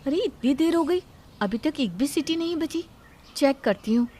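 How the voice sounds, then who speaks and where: A plaintive young daughter-in-law, voiced by a woman narrator in a moral story. Her voice is very high and insistent, always justifying herself and shifting the blame. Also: expressive, moderate pace, dark tone. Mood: puzzled. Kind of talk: acted dialogue in a Hindi moral story.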